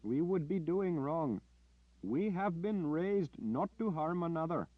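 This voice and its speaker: A man's voice: a little high, lively intonation, slow pace, dry recording, voice pushed up. A man voicing a timid, peace-loving man who talks in a whiny, plaintive way. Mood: whining. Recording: dull dry lo-fi audio.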